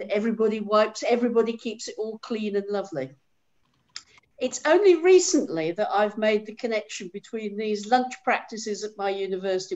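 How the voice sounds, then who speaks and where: A woman's voice: mid-range voice, a little mellow, highs cut off. A woman professor giving an earnest talk at a rally over a video call, often restarting her words. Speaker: guest speaker, professor.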